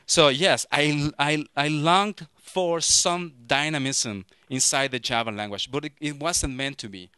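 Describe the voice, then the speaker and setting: A man in his thirties from Mexico with a Mexican Spanish accent, giving a lively, joking technical talk to a conference audience through a handheld microphone. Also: fairly high pitch, unhurried, few pauses, clear, resonant tone, no room sound, studio quality. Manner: lively, joking, frequent restarts. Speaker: conference speaker.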